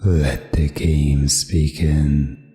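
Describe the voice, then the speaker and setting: A man playing a menacing, mocking game master in an audio roleplay, taunting theatrically with drawn-out emphasis. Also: rumbling low, little pitch movement, warm tone, reverberant, long reverb tail, voice pushed down, heavily acted. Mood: grand, ominous. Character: menacing, mocking male game master toying with his captive contestant. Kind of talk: hypnosis audio roleplay villain.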